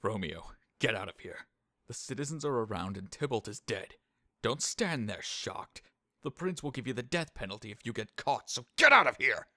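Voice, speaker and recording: A man's voice: medium pitch, expressive, normal speed, sharp tone, no room sound, studio quality. An actor playing a loyal, earnest young nobleman with a dramatic delivery in a modernized Shakespeare audio drama. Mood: urgent.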